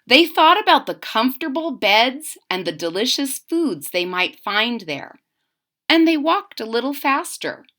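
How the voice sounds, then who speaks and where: Slow, bright-voiced storyteller woman. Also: high-pitched. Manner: expressive, talks to young listeners. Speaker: teacher reading aloud. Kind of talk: children's picture-book read-aloud.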